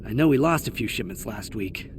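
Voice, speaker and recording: A man's voice: fairly low pitch, animated, a little fast, natural voice. Guy voicing a tired young bad guy engineer, cynical game audio log, lots of noise. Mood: scheming, musing. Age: young adult. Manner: grumbling, sardonic. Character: weary, cynical young male corporate villain and engineer.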